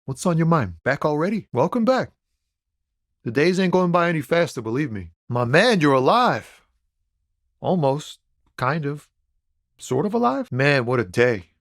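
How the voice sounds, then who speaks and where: An actor playing a laid-back, philosophical truck driver and aspiring poet in a video game, talking in a musing, slangy way. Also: male voice, fairly high pitch, very animated, a little slow, pauses often, no room sound, studio quality. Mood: friendly, wry. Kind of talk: conversational video game character dialogue.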